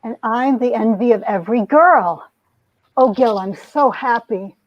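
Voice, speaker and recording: A woman's voice: on the high side, unhurried, no room sound, muffled recording. A woman playing a lovestruck young society heroine who narrates her romance with earnest melodrama, in an amateur comic reading. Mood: happy. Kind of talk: dramatic reading of a 1950s romance comic, first-person narration.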